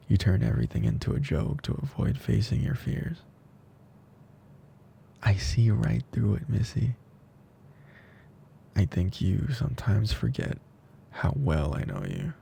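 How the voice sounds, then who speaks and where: A tender, teasing male best friend played by a man in an intimate ASMR audio roleplay. He speaks slowly and softly, in a mellow, breathy voice that slips toward a whisper. A faint low rumble sits behind him. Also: huge pitch swings, exaggerated voice. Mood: earnest, teasing. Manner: soft, breathy, slow, drifting into whispers.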